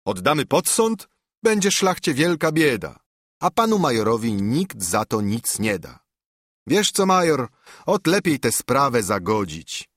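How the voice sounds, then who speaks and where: A good-natured old Russian army captain from a storybook, acted by a male narrator. He coaxes and wheedles to smooth things over. The delivery is very animated and the sound is dry. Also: medium pitch, dry recording. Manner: coaxing, persuasive.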